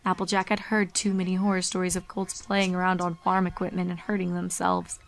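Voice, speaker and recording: A woman's voice: fairly low pitch, monotone, a little fast, vocal fry. A woman reading a horror fan fiction story aloud as its narrator.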